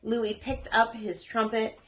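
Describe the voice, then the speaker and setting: A woman reading a children's story aloud as an expressive storyteller. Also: fairly high pitch, slow pace. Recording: echoey room.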